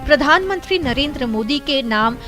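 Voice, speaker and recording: Female news narrator, high pitch, formal and measured, over a strong music bed. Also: lively intonation.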